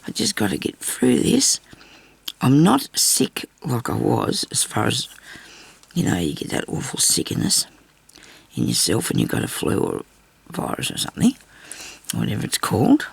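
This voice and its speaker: Gravelly, husky older woman. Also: rumbling low, huge pitch swings, moderate pace, no echo. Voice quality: raspy. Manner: chatty, rambling asides while stitching. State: hoarse with a bad cough. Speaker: crafting channel host. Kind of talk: casual sewing tutorial chat. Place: home craft table. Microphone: overhead camera on her hands.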